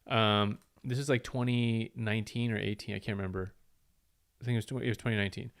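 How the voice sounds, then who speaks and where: Smooth-voiced man telling a casual story. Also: fairly low pitch, fairly even intonation, quick pace. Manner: rambling storyteller, acts out quoted lines. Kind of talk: casual chat.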